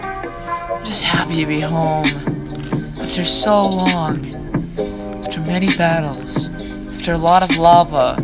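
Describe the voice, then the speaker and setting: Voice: medium pitch, lively intonation, slow pace, long pauses. A young-sounding voice acting out informal, repetitive, exclamatory dialogue for a homemade game roleplay. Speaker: voice actor in a self-made Minecraft roleplay. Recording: music behind the voice.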